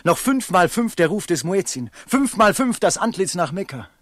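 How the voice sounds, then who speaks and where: Proud merchant: husky, very wide-ranging pitch, poetic, brooding, commanding, radio drama. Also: male voice, big pitch swings, normal speed, dry recording, studio quality, exaggerated voice. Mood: impassioned. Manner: impassioned, poetic, swinging from hushed to commanding. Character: proud, love-struck merchant, brooding and imperious. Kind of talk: German radio play acting.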